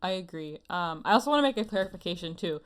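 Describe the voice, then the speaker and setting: A woman's voice: fairly low pitch, expressive, quick pace. A woman co-hosting a casual comedic anime podcast in an informal, self-deprecating way. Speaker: podcast co-host.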